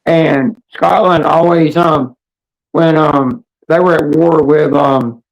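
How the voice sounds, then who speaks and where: A woman with a hoarse, raspy voice hosting a casual livestream chat in a rambling, halting, blunt way. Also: low-pitched, steady tone, dull audio. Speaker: livestream host.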